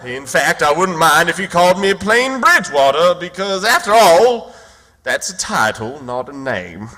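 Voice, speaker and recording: A man's voice: fairly high pitch, big pitch swings, unhurried, sharp tone, in a large hall, exaggerated voice. A man acting in period radio theatre as a self-pitying con man posing as a wronged duke, melodramatic and theatrically mournful. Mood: coyly pompous.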